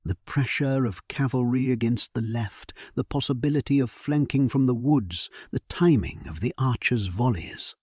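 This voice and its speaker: A man narrating a first-person historical sleep story in a solemn, measured, hushed, breathy voice, as a grave and resolute medieval king. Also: medium pitch, no room sound, clean studio sound.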